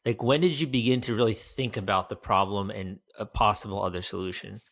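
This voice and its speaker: A man's voice: fairly low pitch, fairly quick, vocal fry, a bit bright. A man hosting a podcast, asking casual, curious interview questions. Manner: casual, curious, uses "like" a lot. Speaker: podcast host.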